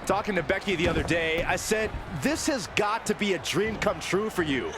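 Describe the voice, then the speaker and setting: A man's voice: high-pitched, moderate pace, over loud music. A man giving scripted commentary on a wrestling video game match. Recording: arena noise with slams behind. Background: wrestling arena ambience and slams.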